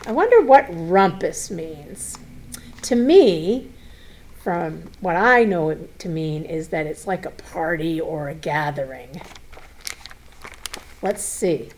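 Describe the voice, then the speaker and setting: A woman reads a children's story at a slow, easy pace. Her voice sits at a medium pitch, and she is expressive, speaking directly to young listeners with simple, chatty explanations. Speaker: school superintendent reading a picture book to children.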